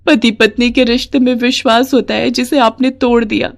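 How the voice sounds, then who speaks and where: Woman voicing a gentle, earnest wronged wife. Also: on the high side, little pitch movement, moderate pace, voice pushed up, natural voice. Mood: hurt, pleading. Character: gentle, hard-working wronged wife, pleading and tearful. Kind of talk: dramatized Hindi moral story.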